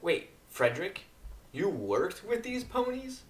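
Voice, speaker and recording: A man's voice: high-pitched, very animated, a little slow, reverberant. A man voicing a haughty, prim female cartoon pony, a classical musician with a formal, disdainful way of talking. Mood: disbelief.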